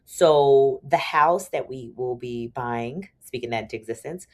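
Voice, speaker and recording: A woman in her forties, a podcast guest, chatting casually and telling stories with lively quoted dialogue and frequent laughs. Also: very deep voice, a little slow. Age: 40s. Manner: animated storytelling, acts out quoted dialogue; laughs often. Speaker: podcast guest, attorney turned entrepreneur. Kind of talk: casual interview chat.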